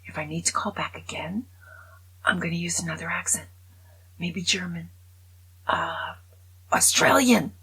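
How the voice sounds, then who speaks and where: A woman's voice: fairly low pitch, a bit bright, husky, rough recording. A woman in her seventies chatting casually to camera, rambling and poking fun at herself. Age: 70s. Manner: chatty, rambling, self-mocking humor. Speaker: vlogger.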